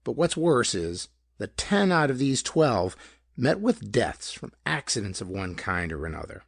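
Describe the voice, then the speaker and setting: Man doing a gravelly, folksy small-town lawman. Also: mid-range voice, big pitch swings, a little slow, dry recording, studio quality, exaggerated voice. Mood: puzzled, insistent. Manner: colloquial, slangy, hesitant explaining.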